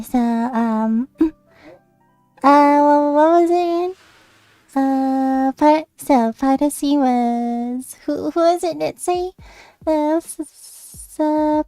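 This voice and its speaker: A woman with a very high voice hosts a casual online discussion. She talks haltingly, pausing and humming as she gathers her thoughts, with faint music playing underneath. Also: steady tone, mellow, no room sound. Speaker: host of a VTuber discussion stream. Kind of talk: casual chat.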